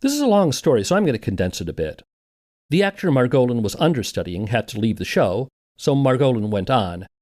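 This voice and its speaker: A man's voice: medium pitch, sing-song, brisk, a little mellow. A man narrating a scripted documentary podcast as its host and interviewer.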